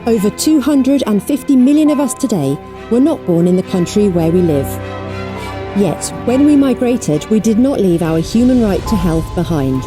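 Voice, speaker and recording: A woman reading scripted documentary narration in a measured, formal way. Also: medium pitch, expressive, music in the background. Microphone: studio voice-over.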